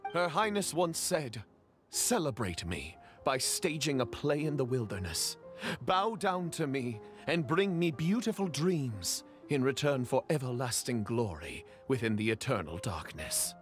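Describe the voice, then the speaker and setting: An actor voicing a hapless, earnest young theatre player dressed up as a knight in a video game. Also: male voice, medium pitch, very animated, measured pace, faint music behind. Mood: proud, earnest. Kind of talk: anime-style video game dialogue.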